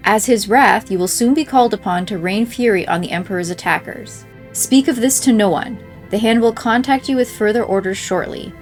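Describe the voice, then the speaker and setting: A woman's voice: mid-range voice, fairly quick. A woman reading written in-game messages aloud as a scripted narration. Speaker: game playthrough creator reading in-game mail aloud. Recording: game music behind the voice. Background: game music.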